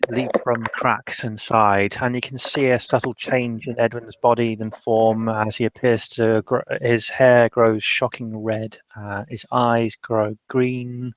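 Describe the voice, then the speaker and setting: Brief: male roleplayer narrating scenes, slow and halting, lowish register, very dry close sound. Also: no room sound. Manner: halting, deliberate, descriptive. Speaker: tabletop roleplay player. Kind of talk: improvised narration for a roleplaying game.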